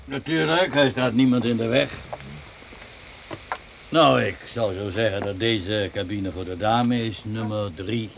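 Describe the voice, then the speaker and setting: A man's voice: fairly low pitch, a little slow, vocal fry, a little hiss or hum. An actor playing a folksy, obliging motel keeper in a radio drama, with a chatty salesman's manner. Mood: helpful.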